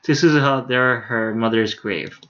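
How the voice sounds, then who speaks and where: A man's voice: mid-range voice, normal speed, pushed and bright. A man with a mid-range voice reads a visual novel aloud as a game streamer. His delivery is casual and unpolished, and the stream audio is a little dull and lo-fi. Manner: casual, stumbles over words, swears in asides.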